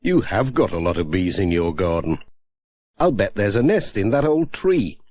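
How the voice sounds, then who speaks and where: A man's voice: fairly low pitch, big pitch swings, moderate pace, darkish tone. A man voicing a friendly, mildly grumbling village postman in a children's storybook. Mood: mildly bothered.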